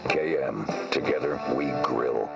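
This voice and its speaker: Very deep gravelly male ad voice. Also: a little slow. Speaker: advertising voice-over. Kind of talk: scripted radio food commercial. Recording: dull radio sound under loud music. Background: loud guitar music.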